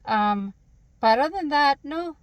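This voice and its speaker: A woman's voice: sits high, expressive, a little slow, dry recording. A woman vlogger answering viewer questions to camera in an earnest, emphatic way, often posing rhetorical questions. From Canada. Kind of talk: direct-to-camera Q&A monologue.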